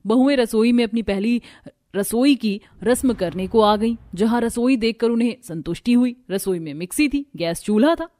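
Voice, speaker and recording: A woman's voice: a little high, moderate pace, dark tone, no echo. A woman storyteller reading scripted narration for a cartoon family story.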